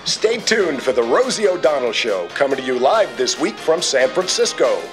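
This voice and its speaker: A man plays a TV announcer reading a show promo with smooth, lively pitch. Music plays behind the voice. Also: normal speed, lightly acted.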